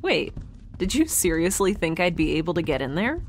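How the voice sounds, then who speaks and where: A woman's voice: fairly low pitch, very animated, normal speed. A woman playing a wry, curious, stubborn young spaceship dweller in a naturalistic sci-fi audio drama, rambling and hesitant with sarcastic asides. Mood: rambling, thoughtful.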